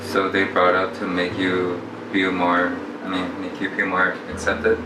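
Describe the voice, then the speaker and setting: A man's voice: low-pitched, completely flat delivery, a little slow, background noise. A twenty-year-old man giving short, hesitant interview answers. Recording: roomy, distant mic. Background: soft background music.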